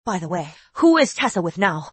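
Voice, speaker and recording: A woman's voice: fairly low pitch, very animated, fairly quick, breathy edge, dry recording. A middle-aged actress playing an emotional mother who scolds indignantly.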